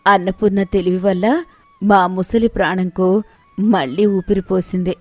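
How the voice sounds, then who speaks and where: A woman's voice: mid-range voice, steady tone, unhurried, no echo, soft background music, natural voice. A woman voicing a forgiving, affectionate old village mother-in-law in a moral story, tender and welcoming. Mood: welcoming, relieved.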